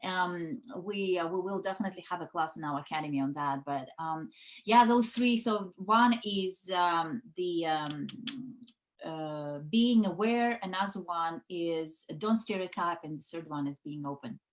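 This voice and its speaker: A woman's voice: fairly low pitch, measured pace. A woman from the Soviet Union whose first language is Russian, chatting casually on a video call with lots of fillers and restarts. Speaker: guest, network founder. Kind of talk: casual conversation.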